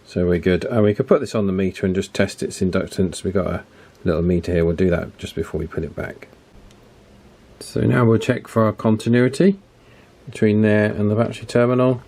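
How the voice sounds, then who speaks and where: Low-voiced man explaining a radio repair. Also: steady tone, dark tone, slight background noise. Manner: thinks aloud with many fillers and restarts, unhurried explaining. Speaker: electronics repair YouTuber. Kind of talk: casual repair walkthrough narration.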